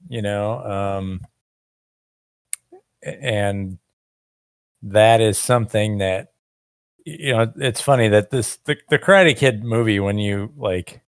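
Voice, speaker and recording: A man's voice: lowish voice, takes it slowly, long pauses, clean tone, no room sound, studio quality. A man co-hosting a casual podcast, talking in a rambling, reflective way with many fillers. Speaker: podcast co-host, martial arts teacher.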